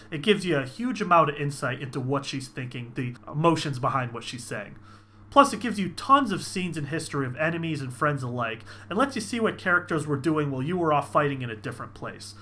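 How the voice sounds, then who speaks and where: A man's voice: fairly high pitch, bright, forceful tone. A man hosting a video review, speaking in an emphatic, informal way as he narrates his enthusiastic take. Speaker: host of a visual novel review channel.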